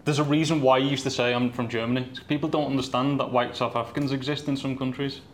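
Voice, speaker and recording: Male podcast host, friendly and probing, mid-pitched voice, with a light hiss behind him. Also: fairly even intonation, fast talker. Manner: friendly, probing, short back-channel replies. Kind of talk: casual interview chat.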